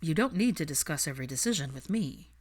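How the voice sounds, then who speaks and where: Woman as a cool, dry young man, low-voiced. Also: animated, fast, darkish tone, slightly rough. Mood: assured. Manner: understated, dry, assured.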